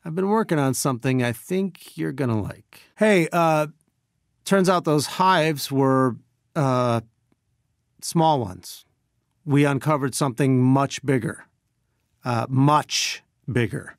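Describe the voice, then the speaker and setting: An earnest, deep-voiced male scientist. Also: slow, no room sound, clean studio sound. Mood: friendly, businesslike. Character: earnest brainy male scientist, weary resistance leader. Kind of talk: video game mission briefing lines.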